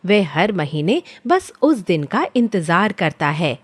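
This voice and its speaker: A woman's voice: fairly low pitch, sing-song, slow pace, husky. A woman narrating a scripted children's story as the storyteller.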